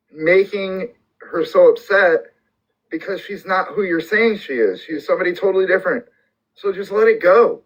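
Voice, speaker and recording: A man's voice: sits high, breathy edge. A man hosting a casual live stream, talking emphatically and defensively and often repeating himself. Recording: dull lo-fi stream audio.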